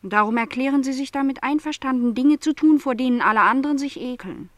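A young housemaid in a radio drama. She is lonely and quietly bitter, choosing her words deliberately and stubbornly holding to her lot. The sound is dry, with hardly any room around the voice. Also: high voice, no room sound. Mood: bitter, insistent. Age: young adult. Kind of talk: radio play dialogue.

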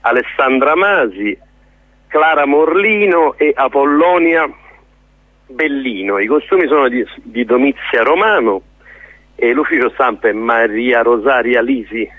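A man interviewed by telephone, heard through a narrow, dry line. He talks earnestly as a theatre director, often pausing and rephrasing while he looks for the right word. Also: mid-range voice, animated, dry recording. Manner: hesitant, searching for words, earnest. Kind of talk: spontaneous radio interview. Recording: narrow tinny phone line.

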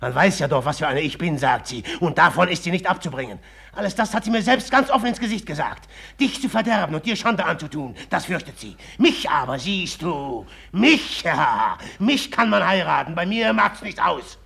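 An actor playing a jealous, passionate young merchant in a 1950s radio drama, speaking slow and heavy, then flaring up. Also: male voice, a little high, very animated, sharp tone, full character voice. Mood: agitated. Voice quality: gravelly, husky.

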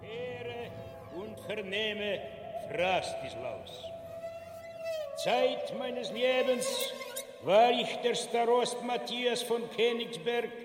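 A solemn royal ghost, declaiming ceremonially in a very high voice. The words crawl out through cavernous echo and noise, as in a spoof radio play. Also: male voice, heavily acted. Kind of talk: parodic radio drama.

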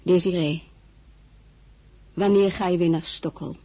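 Loyal older confidante, low husky mellow voice, blunt and familiar, for a historical radio play. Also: female voice, steady tone, unhurried. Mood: frank, familiar. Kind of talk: Dutch-language historical radio drama acting.

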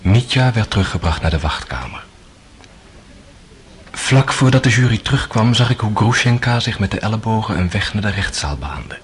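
An actor playing a man who narrates a trial he witnessed, in a measured, sober radio play style. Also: lowish voice, animated, slightly rough. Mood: sober, recounting. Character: male friend of the accused, narrating the trial.

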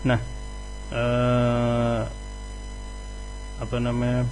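A man hosting a casual radio interview, halting as he searches for words. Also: medium pitch, completely flat delivery, a little mellow, faint music behind, rough recording. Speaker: radio host interviewing a band.

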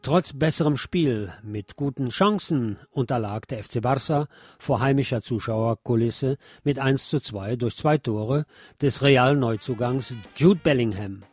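A man presenting a scripted news magazine on the radio as narrator, with a husky voice. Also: mid-range voice, unhurried, a little mellow, no echo.

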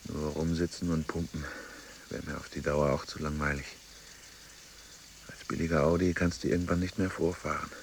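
An actor playing a status-hungry male employee at the gym, gravelly and brooding, in a radio drama monologue. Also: level tone, moderate pace, long pauses, a little hiss or hum. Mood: brooding, boastful. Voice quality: gravelly, very deep.

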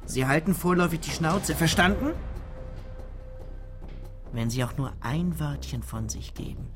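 An actor playing a gruff, blunt police lieutenant in a radio crime drama, curt and questioning. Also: male voice, fairly high pitch, expressive, unhurried, music in the background. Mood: stern, curt. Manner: curt, interrogating.

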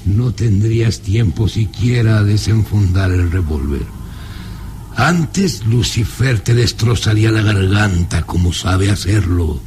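An actor playing a domineering, menacing baron with a mocking, commanding manner in a radio drama. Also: male voice, lowish voice, darkish tone, a little hiss or hum, natural voice. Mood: mocking, proud. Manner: slow taunting menace, commanding. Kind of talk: vintage radio drama acting.

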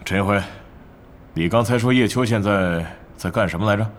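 A man's voice: lowish voice, moderate pace, a little hiss or hum, natural voice. A man playing a stern, scheming middle-aged club manager in a Mandarin audio drama, commanding and interrogating. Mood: probing.

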